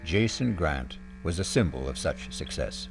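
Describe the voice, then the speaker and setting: A man's voice: rumbling low, expressive, vocal fry. Grave male radio drama host. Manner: grave, deliberate, theatrical. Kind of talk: 1970s radio drama introduction. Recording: archival radio broadcast with music.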